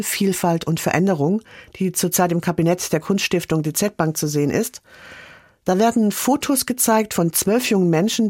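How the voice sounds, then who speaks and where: A man presenting a radio news segment in a crisp, scripted way. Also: sits high, a little mellow, no echo, studio quality. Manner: crisp announcing.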